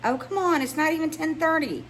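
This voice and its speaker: A woman's voice: high voice, a little fast, voice pushed up, close to an everyday voice. A woman voicing a sassy, teasing female best friend in a romance audiobook, with emphatic delivery. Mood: teasing, insistent. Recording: noticeable background noise.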